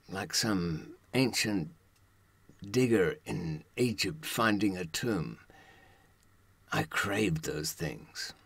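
A man in his seventies delivering a reflective monologue with personal stories, dramatic and deliberate, with pauses and rhetorical questions. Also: on the low side, husky, no room sound. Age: 70s. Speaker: presenter of his own talk series.

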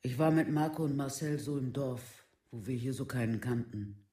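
An actor playing a withdrawn village newcomer in a documentary radio play, speaking colloquially in short halting sentences. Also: male voice, mid-range voice, warm tone, lightly acted. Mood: subdued, resigned. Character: withdrawn villager, newcomer who never fitted in.

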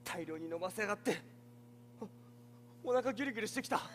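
An actor playing a hapless, flustered young defense lawyer on stage, whiny and exasperated. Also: male voice, sits high, animated, fast talker, fairly bright tone. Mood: whining. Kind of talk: theatrical stage acting. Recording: reverberant theatre recording, faint music.

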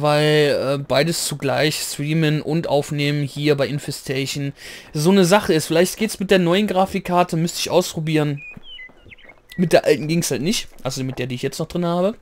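A man with a slightly hoarse voice giving rambling, chatty commentary while playing a game, clearing his throat now and then. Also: a little high. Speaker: let's play gamer. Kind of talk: casual gameplay commentary.

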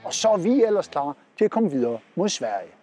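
A man's voice: fairly high pitch, big pitch swings, loud music behind the voice. A man in his forties with a Southern Jutland Danish accent, chatting upbeat to camera outdoors on a lapel mic. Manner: upbeat, chatty, pointing while talking. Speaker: trucker vlog host. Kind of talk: casual vlog narration to camera. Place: outdoors by parked trucks.

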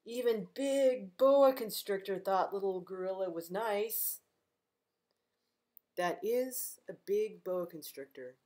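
A middle-aged woman reads a children's picture book aloud in an ordinary mid-pitched voice. She takes her time, speaking very slowly, with a gentle, expressive delivery aimed straight at little listeners. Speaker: librarian reading aloud to children. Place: living room at home, indoors.

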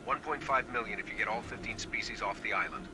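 A man voicing a shady corporate spy who speaks in a hushed, conspiratorial way. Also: lowish voice, quick pace, background noise, natural voice.

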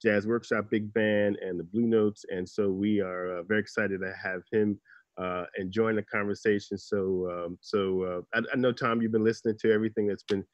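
A man moderating a panel over a video call, introducing the next guest in a measured way with many fillers. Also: lowish voice, fairly even intonation, no room sound, muffled recording.

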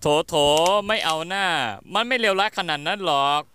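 A man's voice: sits high, moderate pace, noisy background, lightly acted. A man voicing a cheerful, soothing demon veterinarian in a fan-dubbed cartoon. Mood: cheery reassurance. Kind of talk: Thai fan-dub cartoon acting. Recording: music behind the voice.

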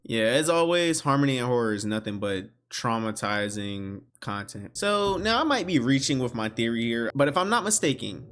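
A man's voice: mid-range voice, big pitch swings, normal speed, few pauses, fairly bright tone. A man in his twenties giving casual, slangy, exclamatory reaction commentary as a video host. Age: 20s. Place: small bedroom, indoors.

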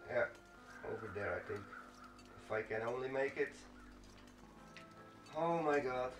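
A man hosts a casual let's play, reacting to the game with muttering and swearing. His voice sits at a middling pitch, away from the mic in an echoey room. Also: a little slow, over music. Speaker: gaming video host. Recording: roomy, distant mic, clear background noise.

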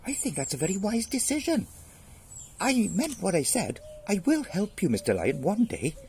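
A man's voice: sits high, sing-song, normal speed, darkish tone, a little hiss or hum, voice pushed up. A man voicing a tiny, cheeky, boastful male mouse in a storybook fable. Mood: plucky, earnest. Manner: cocky, boastful, eager.

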